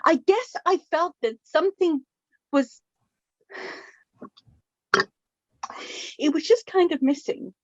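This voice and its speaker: A woman playing a gentle, flustered middle-aged widowed mother in a radio drama, halting and repeating her words. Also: extremely high pitch, lively intonation, dry recording, muffled recording. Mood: tearful, wistful. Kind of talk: naturalistic radio drama dialogue.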